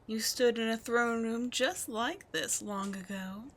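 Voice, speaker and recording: A woman's voice: on the high side, a little slow. A woman playing a taunting demon queen. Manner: sly, taunting, drifting into whispers. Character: scheming female demon queen, mocking and cold. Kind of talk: ASMR roleplay audio drama.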